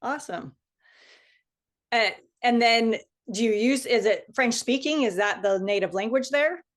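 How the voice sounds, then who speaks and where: A woman hosting a casual interview over a video call, encouraging and quick to laugh. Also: fairly high pitch. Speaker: host.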